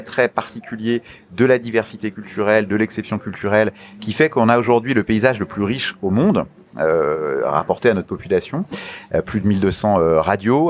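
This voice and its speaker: Guy w a lowish voice answering in a formal interview, careful, pauses a lot. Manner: measured, deliberate, halting with frequent pauses. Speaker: interview guest, media regulator official.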